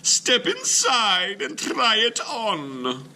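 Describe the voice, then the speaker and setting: A fussy, kindly old woman next door talks in a high, sing-song voice with slow, stretched words, put on by a man. It is a storybook reading with a bright, clear tone. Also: huge pitch swings, a little hiss or hum, full character voice. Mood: scheming, kindly. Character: kindly older woman neighbor, fussy and clever.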